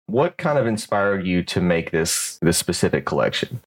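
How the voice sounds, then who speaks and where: A male podcast host with a very low, deep voice. He interviews his guest in a friendly and encouraging way, asking open questions and offering praise. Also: sing-song, normal speed.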